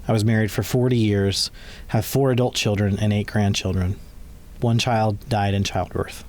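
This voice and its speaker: A man's voice: fairly low pitch, darkish tone. A man in his forties in a personal recorded conversation, partly reading aloud. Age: 40s. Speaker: conversation participant.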